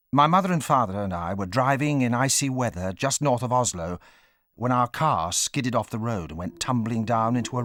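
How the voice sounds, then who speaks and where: Male narrator remembering childhood, kinda low voice, grave and measured, audiobook drama, dry sound. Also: fairly bright tone, dry recording. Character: grown male narrator recalling his childhood with grave fondness.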